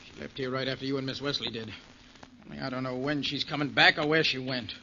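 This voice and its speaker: A man's voice: mid-range voice, steady tone, fast talker, creaky, pushed and bright. An actor playing a resentful, defiant, terse man in a 1940s radio drama. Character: resentful, defiant upright brother. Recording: dull, noisy 1940s radio recording.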